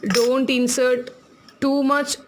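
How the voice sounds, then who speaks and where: A woman's voice: high voice, flat delivery, slight background noise. Chatty Tamil-accented woman teaching crafts. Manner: chatty, laughs often, repeats instructions slowly. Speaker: craft tutorial host.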